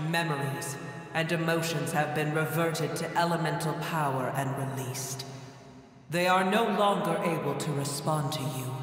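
An actor voicing an ancient, proud dragon in a video game, speaking in solemn, measured, imperious lines through cavernous reverb. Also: male voice, a little high, a bit bright. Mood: solemn, resigned. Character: ancient, proud, weary dragon, scornful of mortals. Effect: long cavernous reverb. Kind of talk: scripted video game dialogue. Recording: very echoey cavernous reverb, music underneath.

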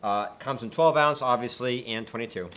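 A man in his thirties co-hosting a beer review, talking in a relaxed, musing way with many fillers and restarts, seated in a small indoor studio. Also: mid-range voice, moderate pace. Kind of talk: casual tasting chat. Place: small indoor studio set with dark curtain.

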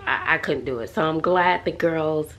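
A woman's voice: low-pitched, normal speed, a bit bright. A middle-aged American woman chatting casually to camera in a sassy, emphatic, comedic way, repeating herself for effect. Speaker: vlogger. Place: indoors at home, mostly in a kitchen. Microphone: handheld or nearby camera at arm's length. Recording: echoey room with clear background noise.

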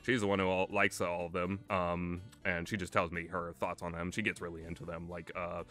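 A man's voice: low voice, little pitch movement, fairly quick, a bit bright. A man giving casual, rambling, opinionated commentary as a video game reviewer, speaking conversationally and with emphasis. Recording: music playing under the voice. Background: background music.

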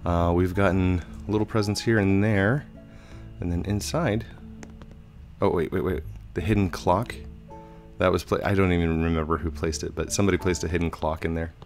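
Deep-voiced man, slightly hoarse from a cold. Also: fairly even intonation, moderate pace, soft background music. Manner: chatty, rambling, with frequent fillers. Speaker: gaming channel host. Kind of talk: casual let's play commentary. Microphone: headset mic.

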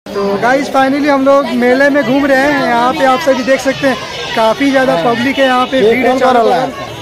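High-voiced man vlogging from a crowded fair. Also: flat delivery, moderate pace. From Bihar. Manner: repeats himself, addresses viewers as guys. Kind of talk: casual vlog narration. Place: outdoors at a busy fair. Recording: music and crowd behind. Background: loud music and crowd.

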